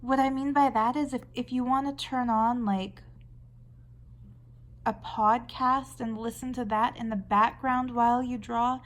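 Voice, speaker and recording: Canadian lady chatting while she draws, kinda high voice, rambles and restarts a lot. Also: fairly even intonation, a little slow. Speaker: artist vlogger. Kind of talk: casual chat while drawing.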